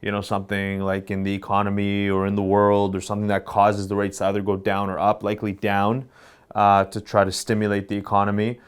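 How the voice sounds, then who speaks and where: A man's voice: fairly low pitch, monotone, brisk, smooth. A man co-hosting a business podcast, easygoing and jokey as he thinks his answers through aloud. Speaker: podcast co-host, mortgage professional. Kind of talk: casual podcast chat.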